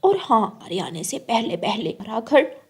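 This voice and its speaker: A woman's voice: a little high, big pitch swings, moderate pace, husky, full character voice. Woman voicing a cruel, bossy old mother-in-law bird. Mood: bossy, scheming. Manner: harsh, commanding, scornful. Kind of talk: Hindi cartoon story acting.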